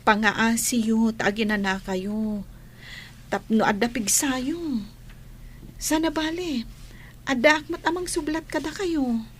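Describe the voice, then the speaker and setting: A woman's voice: on the high side, takes it slowly, pauses often, slight background noise. A woman with a Filipino accent playing a caring, devoted young teacher in an audio drama. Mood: gentle, caring.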